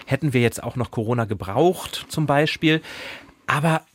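Husky, low-voiced man discussing books. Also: moderate pace. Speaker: podcast host. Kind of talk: conversational book discussion.

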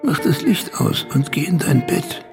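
A very gravelly old grandfather narrates a radio drama with wry tenderness. His delivery swings expressively, with music playing prominently underneath. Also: fairly high pitch, big pitch swings, measured pace, exaggerated voice. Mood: quiet. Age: older.

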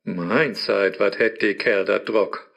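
An actor playing a sly, scheming male devil who lives in a phone app, with a smooth, sneering voice, in a rhymed radio play. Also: lowish voice, slow, fairly bright tone, full character voice.

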